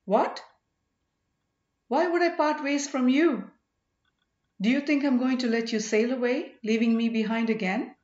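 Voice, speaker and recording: A woman's voice: fairly high pitch, unhurried, pauses often, a little mellow, pitched above the performer's own voice, natural voice. A woman voicing a loyal, plain-spoken male servant who addresses his master respectfully and emphatically, as in a storybook. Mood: indignant, devoted. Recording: roomy, slightly dull home recording.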